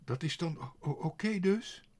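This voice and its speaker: A man's voice: mid-range voice, very animated, unhurried, dark tone, slightly rough, voice pushed up, exaggerated voice. A man playing a bewildered, smitten young man in a storybook, musing and hesitant. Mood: smitten, flustered.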